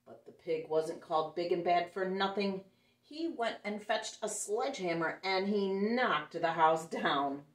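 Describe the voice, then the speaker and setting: Woman, storybook reader, sing-song, animated, low voice, roomy, a bit off-mic. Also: unhurried. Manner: sing-song, playful, expressive. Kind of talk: reading a picture book aloud to children. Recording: echoey room, mic some distance away.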